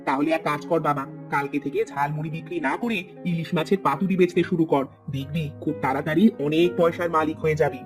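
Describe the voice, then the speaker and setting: A gentle old village mother from a cartoon , acted out by a man. The voice is somewhat high for a man and motherly in manner, with music behind it. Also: steady tone, brisk, few pauses, close to an everyday voice. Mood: encouraging. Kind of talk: children's cartoon voice acting.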